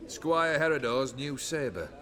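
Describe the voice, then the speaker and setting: Boisterous lord, swooping and theatrical. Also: male voice, medium pitch, animated, slow pace, pushed and bright. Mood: jovial. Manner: flamboyant, archaic turns of phrase. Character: boisterous noble lord, jovial and domineering. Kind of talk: theatrical video game acting. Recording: busy crowd noise behind.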